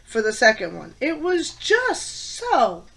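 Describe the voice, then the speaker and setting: A woman in her fifties talks into the camera for a class vlog, her voice stuffed up with a head cold. Her tone is bright and tense and sits a little high. She lands on her words firmly, with pauses and fillers between them. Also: huge pitch swings, creaky. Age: 50s. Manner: opinionated, emphatic, with pauses and fillers. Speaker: college teacher and student.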